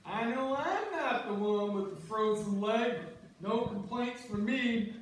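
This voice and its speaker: A man's voice: high voice, slow pace. A man playing a boastful, jolly beer-loving fisherman in an amateur stage play reading. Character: boastful, jolly fisherman fond of beer and big-fish tales. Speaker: amateur reader's theater performer. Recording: echoey church hall from far off, faint noise.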